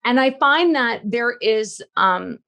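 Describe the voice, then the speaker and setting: A woman's voice: on the high side, lively intonation, takes it slowly, creaky, sharp tone. A woman of fifty hosting a podcast, talking in a chatty, informal way during a casual interview. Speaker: podcast host.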